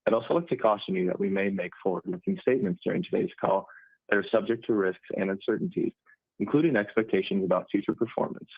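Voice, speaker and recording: A man's voice: lowish voice, fast, creaky, no echo, dull audio. A man reading a formal corporate script as an investor relations officer on a conference call line.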